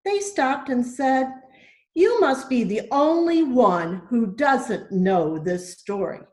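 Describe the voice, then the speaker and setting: A woman reading a Bible story aloud for children, in deliberate, simple phrases as a church storyteller. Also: fairly high pitch, expressive, a little mellow. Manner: deliberate, simple phrasing with questions to young listeners. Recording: echoey room.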